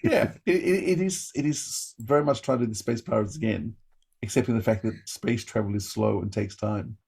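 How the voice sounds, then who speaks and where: A man co-hosting a podcast, giving a casual, enthusiastic review in a halting, effusive way. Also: fairly low pitch, gravelly, darkish tone, husky.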